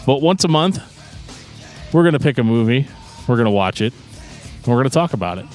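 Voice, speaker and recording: A man with a middling pitch co-hosts a casual podcast over music. He rambles through his explanations in a joking way. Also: lively intonation, fairly quick, a little mellow. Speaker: podcast co-host.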